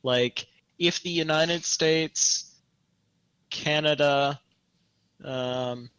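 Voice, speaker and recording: A young man co-hosting a podcast in casual discussion, opinionated and thinking aloud in a halting way. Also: fairly high pitch, fairly bright tone, clear, resonant tone, rough recording. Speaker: podcast co-host. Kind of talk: casual chat, gaming discussion.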